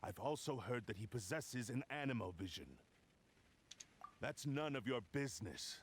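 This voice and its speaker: A man voicing a surly, dismissive swordsman in a video game, with a husky, rough voice. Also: mid-range voice, animated, moderate pace. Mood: curt, dismissive. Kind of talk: video game character acting.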